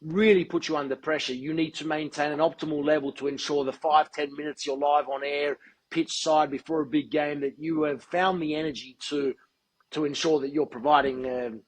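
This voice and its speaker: A man being interviewed as a guest, speaking in a measured, articulate and deliberate way. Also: a little high, fairly even intonation, low-quality recording. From Australia. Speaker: guest, football TV presenter. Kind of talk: podcast interview.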